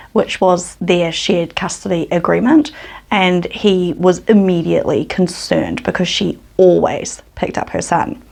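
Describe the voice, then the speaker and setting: A woman's voice: fairly low pitch, vocal fry, darkish tone. A woman from New Zealand with a New Zealand accent, hosting her true crime channel and telling the story in a casual, earnest way.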